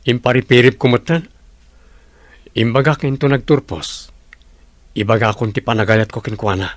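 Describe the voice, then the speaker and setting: A man's voice: medium pitch, moderate pace, no echo, close to an everyday voice. A man with an Ilocano accent playing a devoted, generous young village suitor in a radio drama. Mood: confident.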